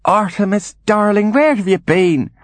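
A man acts out a frail, bedridden mother in a storybook. The voice is lifted high and swings widely, crooning one moment and fretful the next. The manner is imperious and dramatic, with a delusional edge, recorded close and dry. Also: expressive, measured pace, no echo. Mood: wistful.

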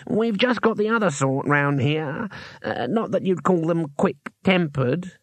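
Man voicing a tiny gnome, hesitant, slow, a bit higher, storybook character. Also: expressive, no room sound. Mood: cautious. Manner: slow, hesitant, hasty to qualify. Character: small male gnome, cautious and fussy.

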